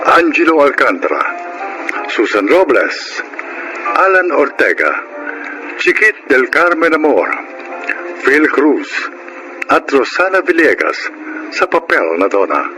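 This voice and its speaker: A man with a Filipino accent announcing a radio drama in a dramatic, deliberate scripted broadcast style. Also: on the high side, big pitch swings, rough recording. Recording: radio broadcast with music bed.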